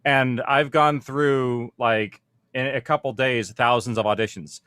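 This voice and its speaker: A man's voice: mid-range voice, fairly even intonation, measured pace, fairly bright tone, smooth, dry recording, clean studio sound. A man speaking informally on a panel, explaining practical details of production in a conversational way. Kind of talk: conference panel talk.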